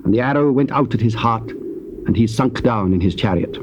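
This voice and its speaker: A British man narrates scripture as solemn storytelling. His voice is of medium pitch. The recording is an old cassette with clear noise behind him. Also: a little fast.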